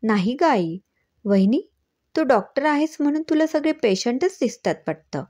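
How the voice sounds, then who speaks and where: Woman doing a cheeky young daughter, lifted pitch. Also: very animated, normal speed, dark tone, no room sound. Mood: playful.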